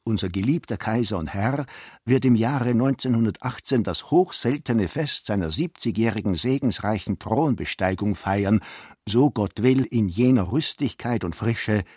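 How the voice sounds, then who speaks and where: An actor playing a pompous Austrian count who delivers a ceremonious, deliberate patriotic address in a radio play, with a husky voice. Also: male voice, lowish voice, a little mellow, no room sound, clean studio sound. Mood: solemn, loyal.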